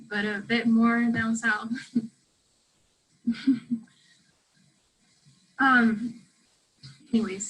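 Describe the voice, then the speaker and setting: A woman's voice: a little high, a bit bright, low-quality recording. A woman in a play reading playing a blunt young South American student who talks in a casual, slangy, sweary ramble. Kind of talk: play reading, naturalistic conversational acting.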